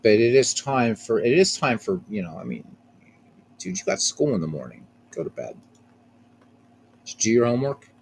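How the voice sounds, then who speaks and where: A man's voice: mid-range voice, sing-song, normal speed, creaky, pauses often, slight background noise. A man hosting a casual live stream of a baseball game, rambling, repeating himself and chatting with viewers by name.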